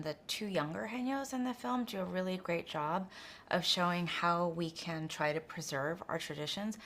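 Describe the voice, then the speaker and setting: Low-voiced woman director, thoughtful. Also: vocal fry, a bit bright. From United States. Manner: thoughtful, with frequent restarts. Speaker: documentary director. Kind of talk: press interview answers.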